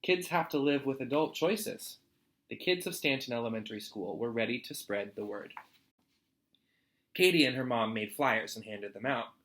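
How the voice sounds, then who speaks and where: Bright-voiced American man reading to children. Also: mid-range voice, normal speed, a little hiss or hum. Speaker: storytime host reading a children's picture book aloud to students. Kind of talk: expressive read-aloud for children.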